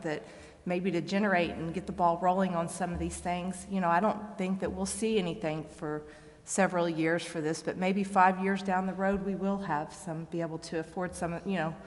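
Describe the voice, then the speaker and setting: Woman, council member, lowish pitch, plain-spoken, informal meeting remarks, hall reverb. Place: large indoor council chamber.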